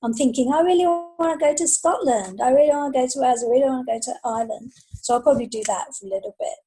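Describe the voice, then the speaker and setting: Female interviewee, middle-aged, higher register with a dark soft tone, conversational. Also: rapid-fire, low-quality recording. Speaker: podcast guest, freelance writer and author. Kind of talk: casual interview chat.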